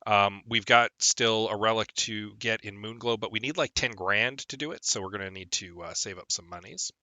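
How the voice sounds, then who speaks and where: A man's voice: on the low side, moderate pace, sharp tone, no echo. A young man chatting casually while streaming a game, rambling with lots of ums, a bit phlegmy from a lingering cold. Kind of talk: casual gameplay stream chat.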